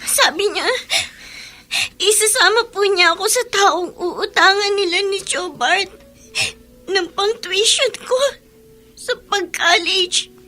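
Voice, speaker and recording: A woman's voice: very high voice, slow, pauses often, pushed and bright, soft background music, heavily acted. A woman playing a distraught, plaintive teenage trafficking victim in a Tagalog radio drama. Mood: tearful, betrayed.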